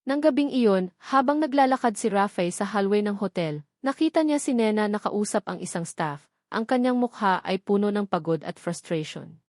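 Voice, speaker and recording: A woman's voice: medium pitch, lively intonation, moderate pace, a little mellow, dry recording, studio quality. A woman reading scripted, dramatic narration of a romance story as a storyteller.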